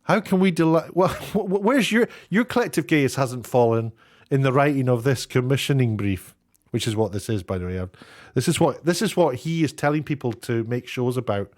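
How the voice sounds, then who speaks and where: A man's voice: medium pitch, animated, brisk, a little mellow. Sarcastic British man giving opinions. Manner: sarcastic, mocking. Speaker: YouTube commentator. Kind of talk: casual opinion commentary.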